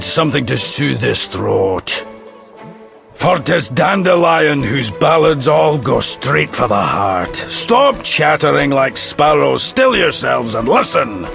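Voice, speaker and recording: A man's voice: a little high, sing-song, takes it slowly, gravelly, a bit bright, soft background music, heavily acted. A man playing a jovial, hearty tavern patron in a video game, declaiming in a commanding way. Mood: hearty, bossy.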